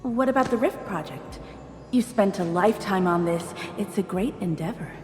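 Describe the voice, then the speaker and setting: Actress as concerned game mother, earnest, encouraging, medium pitch, echoey, faint music. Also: expressive, moderate pace, darkish tone, close to an everyday voice. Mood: earnest, pleading. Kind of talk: video game voice acting. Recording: echoey hall reverb, music behind.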